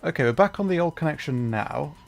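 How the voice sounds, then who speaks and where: A man's voice: fairly high pitch, expressive, brisk, creaky. Flustered man streaming over music. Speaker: livestreamer, VTuber. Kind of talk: casual livestream chat with viewers.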